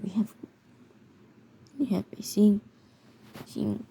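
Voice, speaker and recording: A mellow, mid-pitched female voice narrates a homemade video in a halting, amateur way. The delivery stumbles and repeats words. There is some noise behind the voice. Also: level tone, creaky. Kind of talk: amateur narration of a mock emergency alert scenario video. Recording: noticeable background noise.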